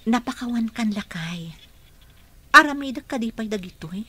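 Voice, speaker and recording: A woman's voice: a little high, lively intonation, moderate pace, bright, forceful tone, husky, heavily acted. A woman playing a devoted, caring wife at a sickbed in a radio drama. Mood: caring, pleading. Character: devoted, caring wife nursing her sick husband. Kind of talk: Ilocano radio drama acting.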